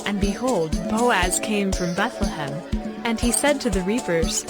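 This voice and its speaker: A woman narrating scripture as a scripted audio story, with measured, clear phrasing. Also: mid-range voice. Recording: background music under the voice.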